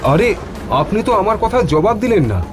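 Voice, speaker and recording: A man voicing an anxious, stranded doctor in an animated horror story, polite but urgent and insistent. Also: high voice, moderate pace, pitched above the performer's own voice. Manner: urgent, questioning, exclaiming. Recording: music behind the voice.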